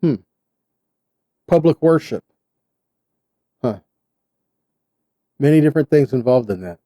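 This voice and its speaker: A man giving sermon-style Bible teaching in short, deliberate phrases with an earnest, exhorting manner. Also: mid-range voice, expressive, mellow, no echo, clean studio sound.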